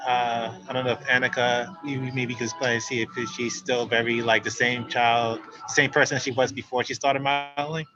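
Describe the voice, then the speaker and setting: A man hosting a webinar panel, asking halting questions with restarts over a video call. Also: mid-range voice, little pitch movement, dull audio, music in the background. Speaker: panel host.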